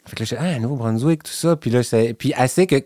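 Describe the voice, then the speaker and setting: A man's voice: medium pitch, quick pace, warm tone, no echo, studio quality. A man from the Quebec City region with a Quebec French accent, chatting casually in an interview and telling stories with many restarts. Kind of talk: casual chat.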